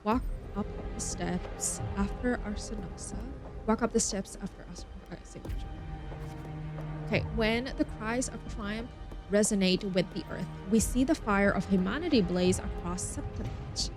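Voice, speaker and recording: A woman's voice: medium pitch, moderate pace. A woman streaming a game, reading story text aloud expressively and mixing in casual, chatty asides. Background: game music.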